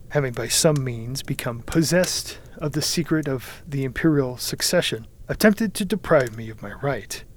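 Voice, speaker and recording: Imperious, grandiose madman for an audiobook, played by a male reader: highish voice, emphatic and commanding. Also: slight background noise. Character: deluded, grandiose young man, angry and imperious. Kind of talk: audiobook character acting.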